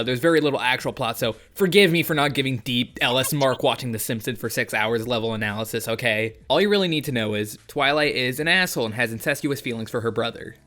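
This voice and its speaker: A man's voice: mid-range voice, fairly bright tone. A man giving casual, jokey video commentary with a sardonic, sweary manner. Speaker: video essayist. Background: faint background music.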